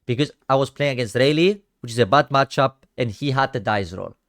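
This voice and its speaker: A man's voice: medium pitch, fairly quick, fairly bright tone. A young man from Cyprus with a Greek Cypriot accent, casually commenting on his own card game matches and chatting with viewers on a stream. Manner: chatty, slangy, talks to chat, often drops to a mutter. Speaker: streamer reviewing card games.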